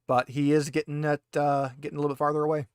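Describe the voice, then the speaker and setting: A man's voice: fairly high pitch, little pitch movement, quick pace, smooth, no echo, studio quality. A man narrating as game master in a casual, conversational way, explaining rules to players.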